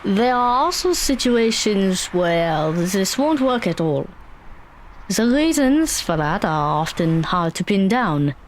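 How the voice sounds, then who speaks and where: Lively, unhurried, wry teenage girl woman acting. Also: medium pitch, a little hiss or hum. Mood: wry, explanatory. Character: wry, knowledgeable, practical teenage magical girl. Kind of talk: audio drama acting.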